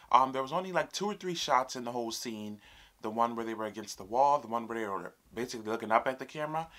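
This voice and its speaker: Fast, bright-voiced young man hosting reactions. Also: mid-range voice. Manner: chatty, sassy, addresses viewers as honey. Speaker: YouTube reaction host. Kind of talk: casual reaction video commentary. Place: small room indoors, fabric backdrop behind.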